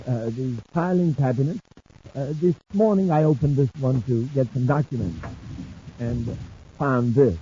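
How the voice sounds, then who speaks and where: A man's voice: mid-range voice, unhurried, warm tone, a little hiss or hum, natural voice. An American man playing a nervous, fussy government office manager, hesitant and precise, in a 1950s radio serial. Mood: worried. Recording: muffled 1950s radio recording.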